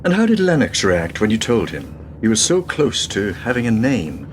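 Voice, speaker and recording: An actor plays a worldly English intelligence man in a radio drama. His lowish voice swings in pitch, though his phrases are terse and knowing. Clear noise sits behind him. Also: wildly swooping pitch, normal speed. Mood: affable, knowing. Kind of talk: radio play acting.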